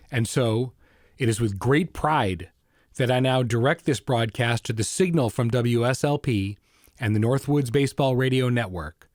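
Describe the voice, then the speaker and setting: A man's voice: mid-range voice, a little mellow, dry recording, studio quality. A man hosting a podcast, delivering a scripted introduction. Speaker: podcast host introducing an episode. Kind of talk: scripted narration.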